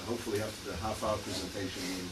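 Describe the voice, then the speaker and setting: A man's voice: on the low side, little pitch movement, rapid-fire. A man with a Hungarian and Israeli accent giving an academic lecture as a criminologist, with many fillers and dry asides. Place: lecture room. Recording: echoey hall, far mic, noisy.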